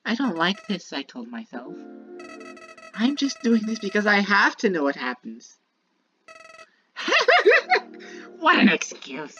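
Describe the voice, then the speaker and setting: A woman's voice: fairly low pitch, fairly bright tone, poor audio. A woman voicing a sinister, childlike talking flower from a video game, bitter and manipulative, delivering a theatrical monologue that moves between sweet musing and menace. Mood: gleeful, menacing. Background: prominent music.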